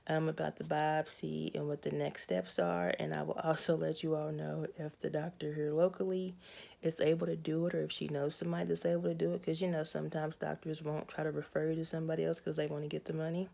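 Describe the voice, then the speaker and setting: A young adult woman with a raspy, hoarse voice, giving a candid, matter-of-fact personal health update to her viewers. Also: sits low, warm tone. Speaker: vlogger.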